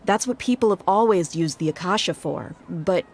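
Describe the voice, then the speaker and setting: A woman playing a calm, measured desert guardian in video game voice acting. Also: fairly low pitch, a little hiss or hum, lightly acted.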